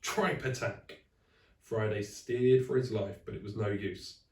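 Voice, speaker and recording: A man reading a children's story aloud in expressive narration, like a teacher to a class. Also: on the low side, moderate pace, far from the mic. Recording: echoey room, away from the mic.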